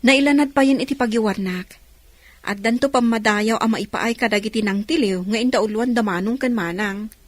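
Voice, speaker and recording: A woman's voice: on the high side, moderate pace, no echo, lightly acted. A woman playing a helpful, respectful younger village woman with a Filipino accent in a radio drama. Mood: informative. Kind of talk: Ilocano radio drama acting.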